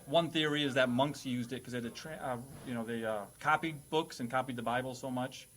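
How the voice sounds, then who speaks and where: A man's voice: medium pitch, brisk, a bit bright. A man hosting a casual comedic quiz as a teacher, explaining things in a nerdy, wordy, playful way. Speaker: quizmaster host playing a teacher.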